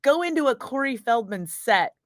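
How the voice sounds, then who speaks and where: A middle-aged woman radio DJ chatting casually with viewers between breaks, profane and quick to laugh. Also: high voice, expressive, fairly bright tone, slight background noise. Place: radio studio.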